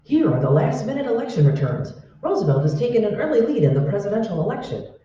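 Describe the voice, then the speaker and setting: Man as formal vintage radio news announcer, urgent, swinging intonation, heard from afar in a booming hall. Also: sing-song, fast talker, warm tone. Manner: declamatory, formal. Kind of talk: 1940s radio newsreading. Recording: echoey hall, distant mic, dull sound.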